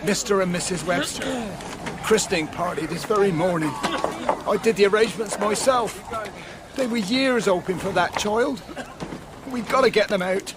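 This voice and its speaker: Commanding warden, male actor, somewhat high pitch, old-fashioned turns of phrase, bossy but comforting, radio drama. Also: moderate pace, long pauses, very noisy, lightly acted. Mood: grim, sorrowful. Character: bossy church warden, stern but soothing.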